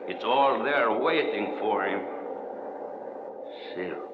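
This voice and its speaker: Low-voiced, taunting ex-convict. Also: male voice, slow pace. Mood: mocking, dreamy. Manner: mocking, boastful, refers to himself by name. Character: bitter, taunting male ex-convict, cocky and menacing. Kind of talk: 1940s-50s radio crime drama acting. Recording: muffled old radio recording, echoey, faint noise.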